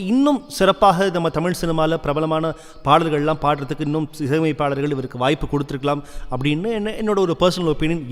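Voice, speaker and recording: A man hosting a radio show, speaking fluently and conversationally with English words mixed in. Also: fairly high pitch. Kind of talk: radio presenting between classic songs. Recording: long reverb on the voice.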